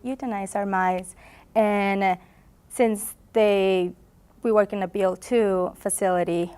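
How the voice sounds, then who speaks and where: A woman's voice: medium pitch, darkish tone, clear, resonant tone. A woman in her twenties from Honduras, a graduate student answering interview questions in a conference booth, earnest with frequent laughs and fillers.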